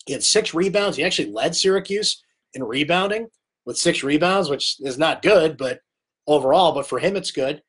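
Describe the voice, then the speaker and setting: A man hosting a late-night live sports recap in a fairly high voice, chatty and opinionated. His voice is worn by a cold, and he talks straight into a webcam. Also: lively intonation, moderate pace, husky. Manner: chatty, opinionated, reads viewer comments aloud. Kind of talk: casual live-stream sports talk.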